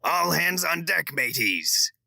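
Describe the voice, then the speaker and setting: A deranged would-be pirate bellows his orders in a gravelly, piercing voice. He stretches each word slowly, rising and falling wildly. The sound is dry and close. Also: on the high side, big pitch swings, bright, forceful tone, no echo, pitched above the performer's own voice, full character voice. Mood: bellowing. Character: unhinged man playing pirate, bellowing.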